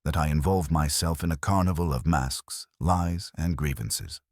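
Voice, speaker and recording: A man voicing a brilliant, imperious detective in an audiobook mystery, speaking in a steady, formal, precise and commanding way. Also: a bass voice, normal speed, no echo, studio quality. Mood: skeptical. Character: brilliant, imperious male detective, curt and unhurried.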